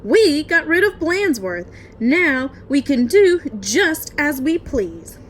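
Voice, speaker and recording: A woman voicing excitable, mischievous schoolchildren in a storybook, with eager exclamations. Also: high voice, slow pace, a little hiss or hum, pitched above the performer's own voice, exaggerated voice. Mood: gleeful.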